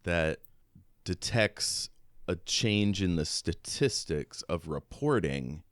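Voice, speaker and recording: A man's voice: low voice, animated, slow, no echo, clean studio sound. A man co-hosting a podcast, talking casually and reflectively about a book in informal, thought-out phrases. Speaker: podcast co-host. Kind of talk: casual book discussion chat.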